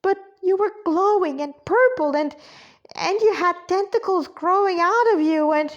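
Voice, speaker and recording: A woman reads as a small childlike android girl from a sci-fi story. The voice is very high and squeaky, with an anxious, excitable, pleading manner. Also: a little slow, lo-fi sound. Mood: worried. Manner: pleading, excitable, stammering questions.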